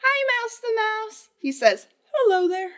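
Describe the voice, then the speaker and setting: Young American woman, high voice, unhurried children's librarian, animated and encouraging. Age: young adult. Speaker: children's librarian, storytime host. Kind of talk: children's storytime presentation and read-aloud. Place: indoors in a library, seated before bookshelves.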